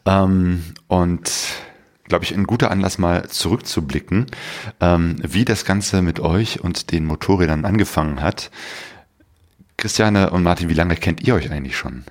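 A man's voice: sits low, normal speed. A man hosting a casual podcast interview, recorded in a living room. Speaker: podcast host.

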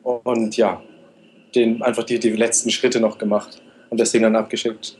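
A man's voice: lowish voice, little pitch movement, moderate pace, a little mellow, low-quality recording. Young man telling a detailed story. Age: 20s. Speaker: interview guest, motorcycle traveler. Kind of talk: conversational interview answers.

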